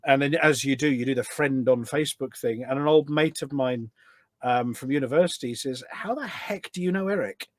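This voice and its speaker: A middle-aged man chatting casually as a podcast guest, telling stories in an animated way. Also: mid-range voice, moderate pace, no echo, studio quality. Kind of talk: casual anecdotal chat.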